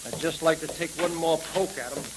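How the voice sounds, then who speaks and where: An actor playing a loyal, hot-headed detective's sidekick, plain-spoken, in 1930s radio drama style on a hissy recording. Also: male voice, fairly high pitch, fairly even intonation, a little fast, lightly acted. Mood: gruffly impatient.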